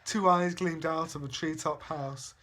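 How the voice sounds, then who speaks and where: A man's voice: a little high, fairly even intonation, measured pace, sharp tone. A man, a father, reading a rhyming children's storybook expressively.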